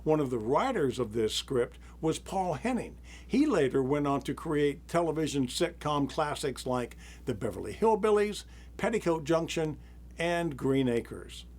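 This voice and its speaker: A man hosting a live theater broadcast, introducing the next act in a relaxed, informative way. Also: fairly high pitch, unhurried. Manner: relaxed, informative, small laughs. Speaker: theater host. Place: studio.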